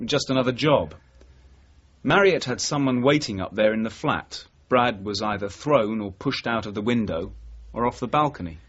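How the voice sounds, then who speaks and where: A British actor playing a dogged, level-headed detective sergeant in a radio drama, terse and measured as he confronts his boss. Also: mid-range voice, lively intonation, a bit bright, husky. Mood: measured, insistent. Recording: dull, muffled old radio recording.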